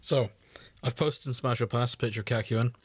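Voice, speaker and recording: A man's voice: mid-range voice, steady tone, fairly quick, slightly rough, dry recording. A man co-hosting a podcast in casual chat, with a droll, understated way of talking. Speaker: podcast co-host.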